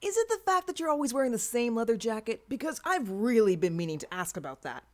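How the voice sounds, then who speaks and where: A woman's voice: fairly high pitch, big pitch swings, quick pace, voice pushed up. Flippant young male magician read by a woman. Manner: flippant, teasing. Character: sarcastic cocky young male magician superhero, jerky.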